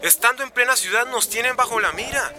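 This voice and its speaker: An actor playing a worried migrant-aid activist in a radio drama, anxious and earnest. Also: male voice, extremely high pitch, fast, over loud music. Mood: worried, urgent.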